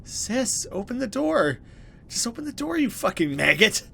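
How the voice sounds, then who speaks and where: A man's voice: very high voice, big pitch swings, normal speed, creaky, pushed and bright, slight background noise, pitched above the performer's own voice, full character voice. A man voicing a monster that poses as a young girl, wheedling and pleading in a horror visual novel. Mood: insistent. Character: creature posing as a pleading little sister.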